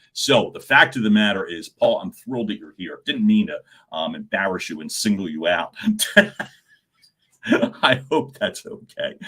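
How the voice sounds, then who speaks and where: Loud, animated middle-aged male coach, bright forceful voice, talks straight to a live audience. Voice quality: loud speaking voice. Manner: animated, swings pitch and volume, chuckles often. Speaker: communication coach hosting a live stream. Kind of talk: live-streamed coaching talk.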